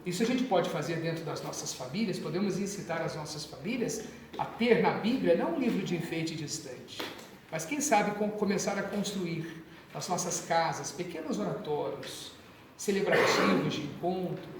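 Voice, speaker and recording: A Brazilian man from São Paulo, a priest, giving an informal training talk to parish catechists, animated and conversational with asides and jokes. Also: sits high, a little hiss or hum. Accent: Brazilian Portuguese, São Paulo. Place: parish hall. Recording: echoey parish hall from far off.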